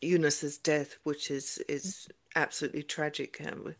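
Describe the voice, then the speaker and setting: A woman interviewer asking questions in a slow, thoughtful way, restating what she has heard. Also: deep voice, fairly even intonation.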